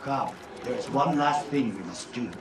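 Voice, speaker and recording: An actor playing a remorseful, cursed samurai archer's spirit in a video game, speaking gravely and deliberately. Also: male voice, mid-range voice, animated, natural voice. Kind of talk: dramatic game cutscene acting. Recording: echoing, distant, under loud music.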